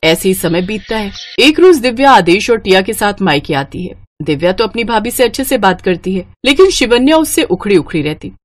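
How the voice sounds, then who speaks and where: A woman's voice: mid-range voice, big pitch swings, a little fast, few pauses, a little hiss or hum. A woman narrator reading a scripted moral story.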